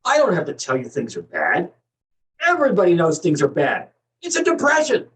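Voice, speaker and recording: A fed-up television anchorman acted by a man rants in a dramatic monologue, exhorting with agitated emphasis. The pitch swings widely, and the voice is picked up at some distance from the microphone. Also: wildly swooping pitch, moderate pace, a bit bright, full character voice. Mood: grim, agitated. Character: fed-up, impassioned male TV news anchor ranting.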